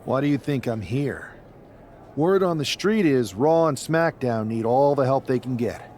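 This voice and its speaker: A man's voice: mid-range voice, animated, unhurried, darkish tone, a little hiss or hum, natural voice. A man playing an ambitious newcomer pro wrestler and scheming rebel in a video game cutscene, speaking earnestly and with emphasis.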